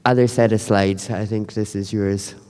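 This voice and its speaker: A man speaking on a panel about his music program, relaxed and chatty with frequent ums. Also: on the low side, normal speed, smooth. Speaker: panelist, artistic director. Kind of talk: panel talk. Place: conference hall. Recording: hall reverb.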